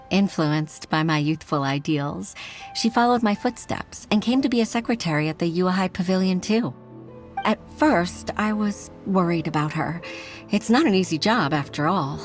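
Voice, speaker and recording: An actress playing a gentle, earnest grown woman in a video game, modest and a little hesitant in a soft manner. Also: on the low side, expressive, moderate pace, close to an everyday voice. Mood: wistful, tender. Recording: music under the voice.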